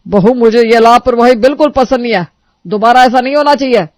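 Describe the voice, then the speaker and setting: Strict scolding father-in-law, a woman voicing him, high for a man, dry sound. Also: fairly quick, no room sound, natural voice.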